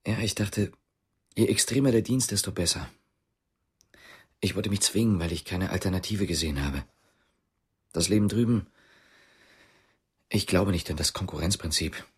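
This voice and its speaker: An actor playing a guarded, dry police investigator in a radio drama, speaking tersely. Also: male voice, moderate pace, pauses often, husky. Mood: earnest, confiding. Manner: terse, low-key.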